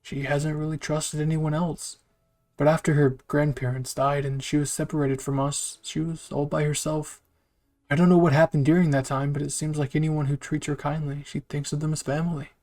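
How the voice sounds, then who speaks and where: Earnest teen boy soldier in an anime story, voiced by a man, friendly, slightly high. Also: little pitch movement, normal speed, darkish tone, lightly acted.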